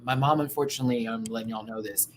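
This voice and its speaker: A man's voice: mid-range voice, steady tone, fast, a little hiss or hum. An American man hosting a livestream, telling personal stories in a candid, chatty way with slang and frequent asides. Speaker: livestream host. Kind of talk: casual personal storytelling on a livestream.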